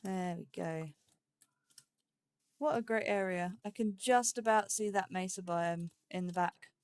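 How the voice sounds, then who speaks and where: A woman's voice: mid-range voice, a little slow, a little mellow, slight background noise. A woman giving casual, rambling gameplay commentary, thinking aloud with a playful, self-chiding manner. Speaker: let's play host.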